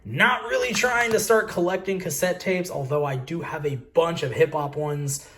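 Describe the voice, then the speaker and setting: A man's voice: on the high side, normal speed, fairly bright tone. An American man hosting a video in a casual, slangy vlog style, chatting informally to his viewers. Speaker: host of a video channel about reselling collectibles.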